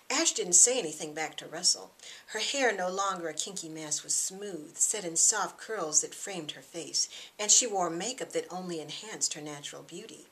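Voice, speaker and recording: A middle-aged woman reading her own novel aloud in expressive scripted narration. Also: on the low side, poor audio.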